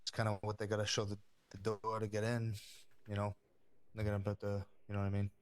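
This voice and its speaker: Mafia crew man, improvised by a male player: low, quick, fairly even delivery, casual and obliging. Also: clean tone, no room sound, natural voice. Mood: businesslike. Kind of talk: improvised crime roleplay on a livestream.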